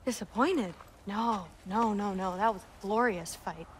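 A woman's voice: mid-range voice, a little slow, slight background noise, natural voice. A young woman playing a fierce but loving warrior daughter in a video game drama, earnest and emotionally direct. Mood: hurt, exasperated.

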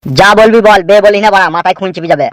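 A man's voice: high-pitched, very fast, fairly bright tone, no echo. A man voicing a cheeky, melodramatic gang lackey with theatrical back-talk in a comedy cartoon, music behind. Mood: testy. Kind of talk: Bengali comedy cartoon dubbing.

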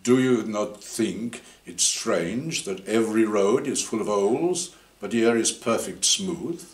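A man's voice: fairly low pitch, slow pace, echoey room. A man reading aloud as a grizzled, wary French archaeologist who searches for words in English. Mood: wary, uneasy.

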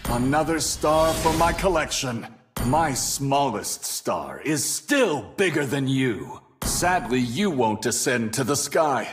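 Grandiose, proud star warrior. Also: male voice, mid-range voice, expressive, slow. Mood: proud, commanding. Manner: grandiose, theatrical, boastful declarations. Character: proud, domineering male Capricorn star warrior, boastful conqueror. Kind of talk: video game hero voice lines. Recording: game music and battle effects behind.

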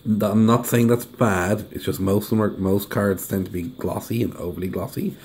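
A hoarse man, chatting casually through an unboxing review and humming as he unwraps things. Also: fairly low pitch, steady tone, normal speed, darkish tone, a little hiss or hum. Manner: chatty, hums while unwrapping. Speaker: deck reviewer. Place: indoors at a table. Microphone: camera over the table filming hands.

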